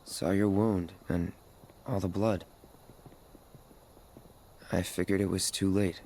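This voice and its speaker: An actor playing a stoic, withdrawn young ex-soldier in a video game, terse and deadpan. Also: male voice, deep voice, slow pace, a little hiss or hum. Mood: grave. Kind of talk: understated video game cutscene acting.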